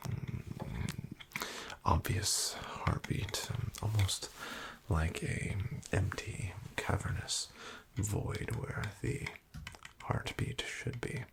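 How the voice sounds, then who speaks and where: Male ASMR host, whispered doctor roleplay, low gravelly voice, dry wry delivery. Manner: slow, hushed and wryly deadpan, with soft fillers.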